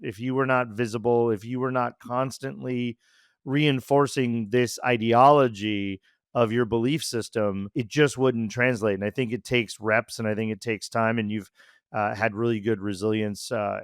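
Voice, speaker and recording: A male podcast host speaks in a conversational interviewing style. His mid-range voice is smooth and clean, recorded dry with no room sound. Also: mid-range voice, fairly even intonation, moderate pace, no room sound.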